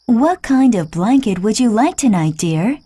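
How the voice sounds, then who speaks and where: A woman voicing a doting, gentle mother in a children's storybook, tender and affectionate. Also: fairly high pitch, very animated, a little slow, breathy edge, voice pushed up, heavily acted. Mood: kindly.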